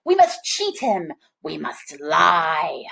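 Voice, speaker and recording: A woman's voice: low-pitched, wildly swooping pitch, slow pace, creaky, a bit bright, voice pushed down, full character voice. A woman voicing a vain, angry, scheming king in a children's storybook, imperious and dramatic. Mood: scheming rage.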